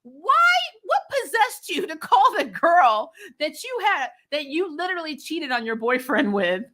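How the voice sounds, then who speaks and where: Bright, high-voiced woman chatting bluntly. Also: unhurried. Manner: animated, exasperated, blunt and profane, mock-scolding; laughs often while talking. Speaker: host of a TV recap show. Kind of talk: casual opinionated TV review chat.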